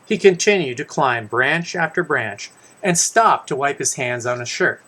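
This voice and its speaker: Bright-voiced man reading a children's story. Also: mid-range voice, lively intonation, a little slow. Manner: conversational, stumbles and corrects himself. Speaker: author reading his own children's book aloud. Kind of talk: first-person story reading with casual asides to listeners.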